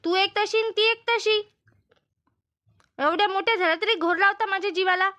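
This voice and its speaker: Woman voicing a very high, piercing, nagging cartoon mother. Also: fairly even intonation, moderate pace, pushed and bright. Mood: fretful. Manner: nagging, repetitive, fretful. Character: Shanta's fussing, scolding older village mother. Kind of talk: comedy cartoon dubbing.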